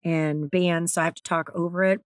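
A woman with a naturally raspy, slightly strained voice, hosting her own podcast in a candid, chatty way. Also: on the low side, fairly even intonation, fairly quick, no room sound, clean studio sound. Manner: candid, chatty, self-deprecating. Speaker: podcast host and author. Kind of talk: solo podcast talk.

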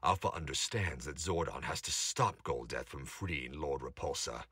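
A man's voice: a bass voice, expressive, normal speed. A man narrating a scripted audio drama in a dramatic storytelling style. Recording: noisy home recording with clicks.

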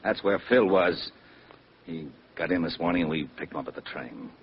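An actor playing a weary, gruff police lieutenant with a husky voice and a blunt, exasperated manner in an old radio drama. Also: male voice, low-pitched, moderate pace, slight background noise. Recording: muffled old radio broadcast.

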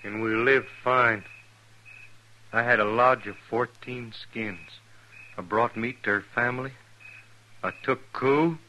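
Brooding, hard-edged western scout. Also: male voice, mid-range voice, takes it slowly, long pauses, sharp tone. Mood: wistful, remembering. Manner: rustic drawling grammar, terse, brooding. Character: weary, defiant male army scout, fugitive frontiersman. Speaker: actor in a radio western. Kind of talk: 1950s radio drama acting. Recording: noisy vintage 1950s radio broadcast recording.

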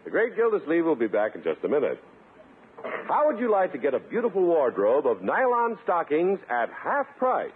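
A man's voice: on the high side, husky. An American man announcing a scripted 1950s radio commercial with polished, emphatic salesmanship. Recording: narrow, scratchy 1950s radio broadcast.

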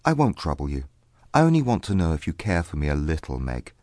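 A woman voicing an earnest young gentleman suitor in a storybook, tender and persuasive in manner. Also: very deep voice, big pitch swings, fast, dry recording, studio quality, voice pushed down, exaggerated voice. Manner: persuasive, beseeching.

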